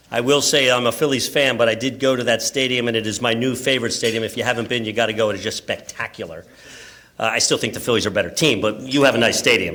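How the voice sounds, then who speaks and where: Man, American accent, event host, formal introduction with dry asides, sharp forceful tone. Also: mid-range voice. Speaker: press club luncheon host. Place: luncheon event room, speaking to an audience.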